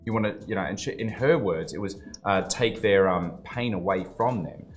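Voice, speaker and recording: Low-voiced sweary podcast host. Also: male voice, normal speed, soft background music. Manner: rambling, sweary, emphatic with many fillers. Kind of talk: casual conversational interview.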